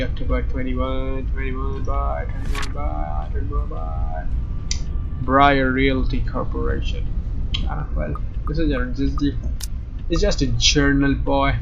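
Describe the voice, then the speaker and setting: A man's voice: mid-range voice, creaky, muffled recording. A young man doing informal, jokey let's play commentary and reading game narration aloud.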